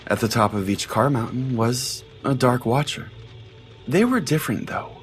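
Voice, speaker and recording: A man's voice: mid-range voice, animated, normal speed, faint music behind. A man narrating a scripted first-person comic story as a podcast host, in a dramatic, wry manner.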